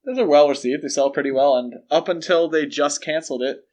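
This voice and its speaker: A man co-hosting a podcast, talking casually and explaining his measured opinions on pop culture. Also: a little high, a little mellow. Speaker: podcast co-host.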